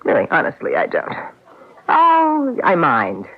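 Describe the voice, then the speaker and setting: A woman's voice: on the low side, wildly swooping pitch, a little slow, exaggerated voice. An actress playing a witty, insecure wife in a radio drama, teasing and dramatic in her delivery. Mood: wry, coaxing. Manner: wry, dramatic, affectionate teasing. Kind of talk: old-time radio drama acting. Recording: muffled vintage radio drama.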